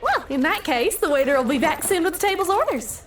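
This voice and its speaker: A high-voiced, eerily chirpy diner waitress in an audio drama. Her delivery swings up and down in a sing-song, formally polite and quietly pushy, with music underneath. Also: big pitch swings, fairly quick, heavily acted. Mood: saccharine, pushy. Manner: speaks of self in third person, sing-song service voice.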